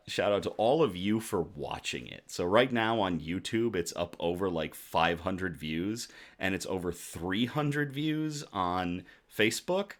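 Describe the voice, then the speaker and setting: A man hosting a casual livestream, chatting to viewers in a jovial, effusive way, his voice slightly rough from shouting. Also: deep voice. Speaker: host of a casual news and beer livestream.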